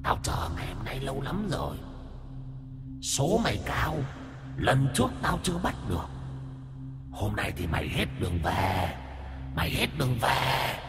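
A man voicing a vengeful female ghost with long hair, taunting and menacing in a thin, high voice. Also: big pitch swings, unhurried, long pauses, music in the background.

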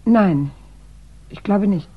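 A woman's voice: medium pitch, expressive, slow pace, husky. An actress playing a devoted, anxious younger woman in a 1960s German radio crime play, earnest and hesitant. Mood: guarded. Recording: dull 1960s radio recording.

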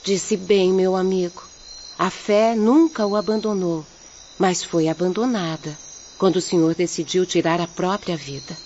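Actress as a gentle young Brazilian peasant woman, muffled recording. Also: lowish voice, fairly even intonation, close to an everyday voice. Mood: earnest, consoling. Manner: earnest, consoling, measured. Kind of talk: Portuguese-language radio drama acting. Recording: muffled lo-fi audio, night insects, noise.

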